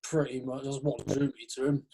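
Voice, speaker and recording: Host: young man, mid-pitched, loose and rambling, laughs a lot. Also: flat delivery, a little fast, warm tone. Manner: rambling, lots of fillers, laughs. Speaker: podcast host. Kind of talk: casual chat.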